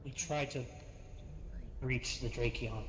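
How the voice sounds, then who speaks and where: Male online roleplay player, average pitch, improvised delivery, noisy capture. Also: fairly even intonation, slow pace. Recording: echoey, noisy voice-chat capture.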